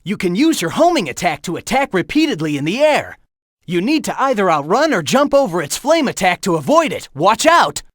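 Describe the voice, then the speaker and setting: Brash game hedgehog hero, teasing and coaching his rival, animated delivery, dry close booth sound. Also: high voice, brisk, no echo. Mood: alarmed. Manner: cocky, teasing banter; urgent warnings and coaching. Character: cocky young male hedgehog hero, fast and teasing. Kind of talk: video game voice acting.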